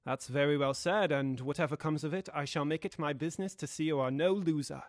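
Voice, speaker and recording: A young man playing a grave, dutiful Victorian gentleman lawyer in an audio drama, with a formal and deliberate delivery. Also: a little high, no room sound, clean studio sound, natural voice. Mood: resolute. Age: young adult.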